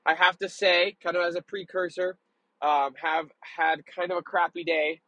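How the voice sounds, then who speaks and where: A young man with a slightly high, mildly congested voice hosts a casual solo podcast. He talks informally and openly, feeling his way through his thoughts in a rambling manner. The sound is close and dry. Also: flat delivery, normal speed, bright, forceful tone, no room sound, muffled recording. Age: young adult. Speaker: podcast host.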